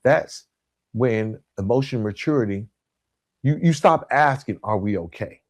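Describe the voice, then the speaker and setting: Male podcast host, lowish register, close dry sound. Motivational coach delivery, emphatic and direct, repeating key phrases. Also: lively intonation, unhurried, no echo. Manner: direct, addresses listeners informally.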